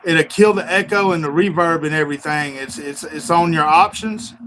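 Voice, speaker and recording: A man's voice: fairly high pitch, normal speed, a crowd in the background, rough recording. A man from Georgia, a co-host who teases crudely in casual podcast banter. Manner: crude, teasing.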